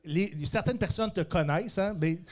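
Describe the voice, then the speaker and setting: A man's voice: high voice, moderate pace. A man with a Québécois accent hosting a casual live podcast interview in a joking, self-deprecating way. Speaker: podcast host and stand-up comic.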